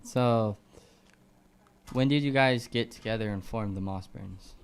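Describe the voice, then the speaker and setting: A man's voice: on the low side, a little slow, gravelly, smooth. A man hosting a community radio show who asks guests short, laid-back questions. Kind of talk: casual interview questions. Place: community station studio.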